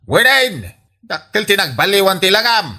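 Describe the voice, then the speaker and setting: A man's voice: high voice, very animated, normal speed, pushed and bright, heavily acted. A man playing an exasperated, scolding older father in an Ilocano radio comedy drama, with an Ilocano accent. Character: exasperated, scolding father of vain grown daughter.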